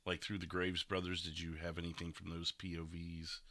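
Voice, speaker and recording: A man's voice: low-pitched, completely flat delivery, a little fast, bright, forceful tone. A man co-hosting a live stream podcast, chatting casually.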